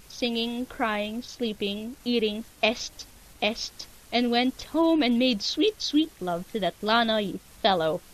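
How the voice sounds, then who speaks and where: Female comic narrator, high voice, playful dramatic delivery, faintly hissy home recording. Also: low-quality recording. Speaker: co-host and story narrator. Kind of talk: comic dramatic reading of fan fiction.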